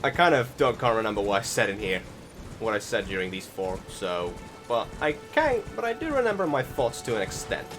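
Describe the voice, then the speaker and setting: A man giving casual gameplay commentary, rambling and halting, often correcting himself as he goes. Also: on the low side, sing-song. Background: game music.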